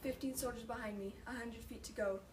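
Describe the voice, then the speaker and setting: A woman performing an ambitious army lieutenant in a deliberate, declamatory slam poetry recital. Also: a little high, fairly even intonation, lightly acted. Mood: haunted. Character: ambitious, self-assured army lieutenant, later haunted. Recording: noisy, echoey room, mic far off.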